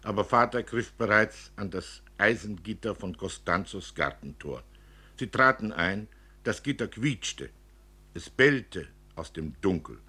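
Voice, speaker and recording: Husky, expressive male literary narrator. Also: lowish voice, a little slow. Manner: expressive, acting out dialogue. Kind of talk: dramatic literary reading for radio.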